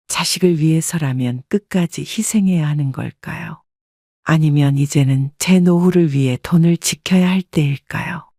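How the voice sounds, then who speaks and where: A woman narrating a scripted first-person family story in a calm, reflective tone. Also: sits low, no room sound, studio quality.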